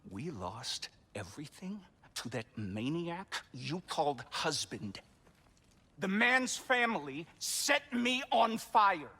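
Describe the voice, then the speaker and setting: Game role: exiled younger-brother god, emotional and theatrical, sharp bright voice with big pitch swings. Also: male voice, big pitch swings, slow pace, husky, heavily acted. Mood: indignant. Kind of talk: cinematic video game acting.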